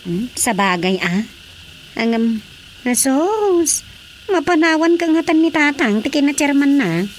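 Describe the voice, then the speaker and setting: An actress playing an expressive wife in a radio drama, speaking with an Ilocano Filipino accent. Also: female voice, high voice, measured pace, a little hiss or hum. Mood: curious.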